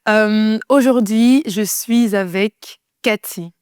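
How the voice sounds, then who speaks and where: A woman's voice: medium pitch, takes it slowly, darkish tone, clean tone, no echo, clean studio sound. A woman hosting a casual podcast interview, upbeat and encouraging. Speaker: podcast host.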